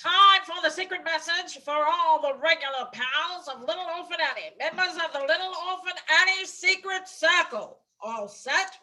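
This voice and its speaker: Woman as a bright, booming radio show announcer. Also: exaggerated voice. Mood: chummy. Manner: peppy, emphatic, deliberate. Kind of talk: 1940s radio announcer acting.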